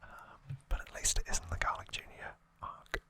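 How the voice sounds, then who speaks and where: An ASMR host speaking in a breathy whisper, giving a soft, unhurried, casual recap with conversational asides. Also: no room sound.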